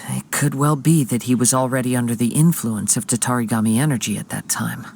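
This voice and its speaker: A man voicing a calm, thoughtful young wandering swordsman in a video game, speaking in a composed, measured, soft-spoken way. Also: medium pitch, creaky, very noisy, lightly acted.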